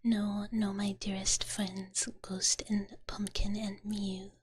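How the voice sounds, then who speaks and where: A woman streaming ASMR in a soft, breathy whisper, chatting casually and playfully with viewers up close to the microphone. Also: mid-range voice, flat delivery, slow, no room sound. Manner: playful, speaks of self in third person. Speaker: ASMR livestreamer.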